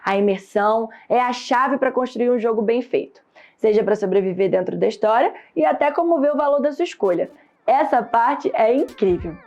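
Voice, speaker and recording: A woman with a Brazilian Portuguese accent, reading a scripted lesson in a steady, explanatory way. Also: fairly high pitch, brisk. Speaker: course instructor. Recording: dull lo-fi sound.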